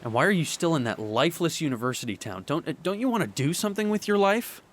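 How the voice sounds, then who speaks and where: A young man performing a radio drama monologue, halting and sighing as he pleads with an absent partner. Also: fairly high pitch, big pitch swings. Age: young adult. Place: beside the sea.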